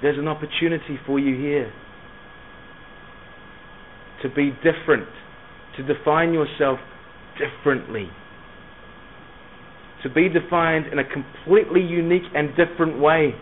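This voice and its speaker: Scottish guy preaching to kids, bit of nz in the accent, highish voice, lots of pauses, thin phone-like audio. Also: slight background noise. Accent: Scottish, softened by New Zealand. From Scotland, moved to New Zealand as a schoolboy. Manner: rhetorical questions, dramatic pauses and emphatic repetition. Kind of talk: evangelistic sermon to young people. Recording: narrow, phone-like lo-fi sound.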